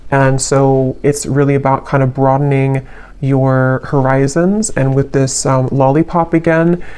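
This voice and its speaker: A woman giving an unscripted tarot reading in a reflective, halting way, letting her phrases trail. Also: a bass voice, dark tone. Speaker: tarot reader, channel host.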